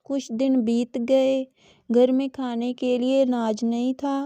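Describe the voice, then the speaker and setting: A woman narrating a scripted children's story in a measured, even storytelling manner. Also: high-pitched, no echo.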